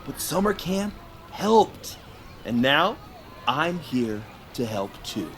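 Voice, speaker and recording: A man's voice: on the high side, big pitch swings, slow, fairly bright tone, a little hiss or hum, exaggerated voice. An actor playing a friendly, goofy young male summer camp counselor, laid-back and slangy, in an audio drama. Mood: encouraging, sheepish. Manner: slangy, easygoing, hesitant with ums.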